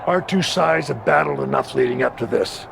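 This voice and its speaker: An actor playing a scheming male rival manager in a wrestling video game cutscene, calm and persuasive with a faint taunting edge. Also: mid-range voice, normal speed, vocal fry, background noise.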